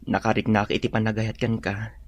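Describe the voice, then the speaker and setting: An actor playing a tender, devoted young suitor in a radio drama, speaking in a sincere, sentimental way. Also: male voice, lowish voice, monotone, fairly quick, lightly acted. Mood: pleading, lovestruck.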